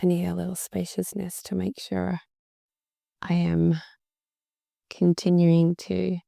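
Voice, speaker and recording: Woman podcast host, voice deep and worn from overuse, slow and dark, reflective delivery. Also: monotone, dry recording, studio quality. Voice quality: deep, gravelly. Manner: slow, reflective, repeats words for emphasis. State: voice worn out from talking a lot. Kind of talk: solo podcast monologue.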